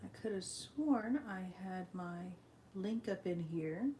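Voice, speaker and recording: A woman's voice: mid-range voice, expressive, slow, warm tone, slight background noise. A woman chatting casually on a live stream as she sets up, rambling with frequent ums, a little sniffly from allergies.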